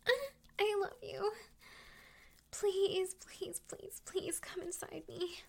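A woman speaking in an intimate, affectionate roleplay style to a partner, breathy and often dropping into a whisper. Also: extremely high pitch, little pitch movement, slow pace, a little mellow. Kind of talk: intimate erotic audio roleplay, addressing a partner.

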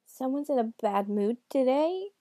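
A woman's voice: sits high, lively intonation, unhurried, warm tone, no echo, clean studio sound, voice pushed up. A woman voicing a nervous boy who poses as a sweet old grandmother in a told story, cooing and placating. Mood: feigned cheer.

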